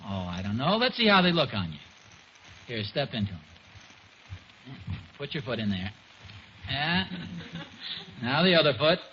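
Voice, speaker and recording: A man's voice: mid-range voice, very animated, a little slow, pauses often. An American man playing a genial, slightly boastful suburban father in a 1940s radio sitcom. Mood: genial, casual. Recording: muffled, noisy 1940s radio broadcast.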